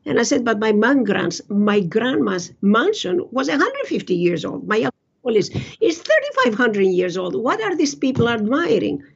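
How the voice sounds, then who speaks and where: A woman's voice: on the high side, very animated, moderate pace, vocal fry, slightly rough, highs cut off. A woman in her sixties from Greece with a Greek accent, speaking as an interview guest in a blunt, emphatic, self-assured conversational way. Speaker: interview guest, physician and author. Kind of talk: conversational personal storytelling.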